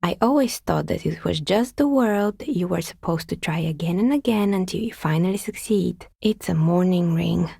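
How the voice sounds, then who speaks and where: A woman playing a skeptical, world-weary artisan in a video game, with dry, sardonic and politely measured delivery. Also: fairly low pitch, gravelly, mellow, breathy edge, no echo. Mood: resigned, reflective. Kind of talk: video game dialogue acting.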